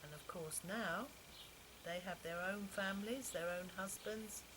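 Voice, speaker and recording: An older woman reading a children's story aloud in an expressive, heartfelt storytelling manner. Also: mid-range voice, measured pace. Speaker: author reading her own children's stories. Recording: noisy home-style recording, constant background noise.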